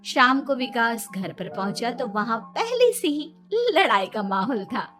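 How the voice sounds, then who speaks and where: Female storyteller for kids' cartoon narration, bright and clear-cut, soft music behind. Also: a little high, animated, measured pace. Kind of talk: scripted children's story narration.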